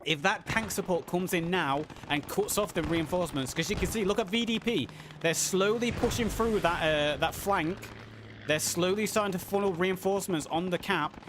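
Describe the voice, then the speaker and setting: A man casting a live game match, play-by-play with fillers, a little hoarse as he is losing his voice. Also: sits high, moderate pace, background noise. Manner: excited play-by-play with frequent uh fillers. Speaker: esports caster. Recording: audible game gunfire and artillery behind. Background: game gunfire and artillery sounds.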